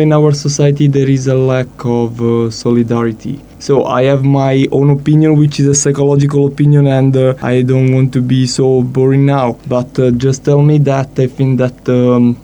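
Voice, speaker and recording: A man from Italy, a guest on a casual radio chat, speaking in a measured, even way. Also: mid-range voice, slight background noise.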